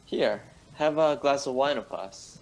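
A courteous, apologetic gentleman doctor played by a man in a radio drama. He talks slowly and hesitantly, in a medium-pitched voice. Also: slight background noise, close to an everyday voice, low-quality recording. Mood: polite, uneasy. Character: polite, flustered gentleman doctor, apologetic host. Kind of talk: radio play acting.

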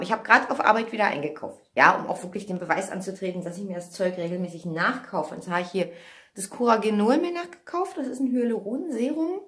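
A woman's voice: fairly high pitch, fairly bright tone. A woman in her thirties from Germany chats casually to her vlog, rambling and thinking aloud, recorded on a phone at arm's length indoors at home. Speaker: vlogger. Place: indoors at home, kitchen, hallway and bathroom.

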